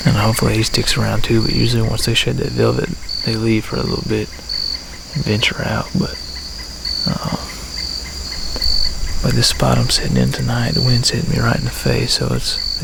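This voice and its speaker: Guy with a real creaky voice, low-key rambling hunting vlog, loud crickets all around him. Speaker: hunting vlogger. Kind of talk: casual hunting vlog talk to camera. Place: outdoors in a hunting spot by a pasture. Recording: noisy outdoor recording with insect sounds. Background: loud crickets, insects and frogs.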